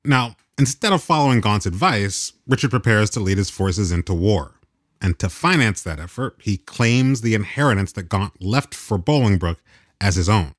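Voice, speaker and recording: A man's voice: fairly low pitch, animated, moderate pace, no echo, clean studio sound. A man hosting a radio program, narrating in a conversational way. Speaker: radio host.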